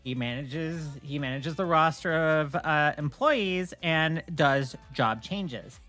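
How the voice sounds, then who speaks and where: A woman's voice: deep voice, takes it slowly, a bit bright. A woman streamer talking casually on a livestream, making her points in a firm, emphatic, argumentative way. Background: music.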